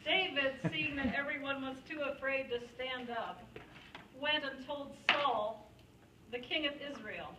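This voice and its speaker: Female narrator for a puppet show: high, unhurried storytelling, picked up from afar in a roomy, noisy space. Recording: echoey room, distant mic, clear noise.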